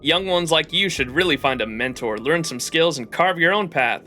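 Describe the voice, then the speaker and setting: A man live-streaming a game, reading its text aloud in a dramatized way and adding commentary. His voice is bright and forceful and sits a bit high. Soft music plays underneath. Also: expressive, normal speed.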